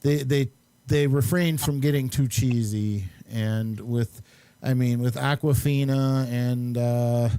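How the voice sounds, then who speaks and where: Male host for casual film chat, soft mellow tone, very dry sound, halting and meandering delivery. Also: mid-range voice, dry recording. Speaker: host and amateur filmmaker. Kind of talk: casual chat and movie reviews.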